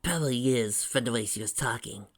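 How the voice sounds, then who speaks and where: A man voicing a brisk, reassuring motherly woman in an amateur story reading. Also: mid-range voice, lightly acted.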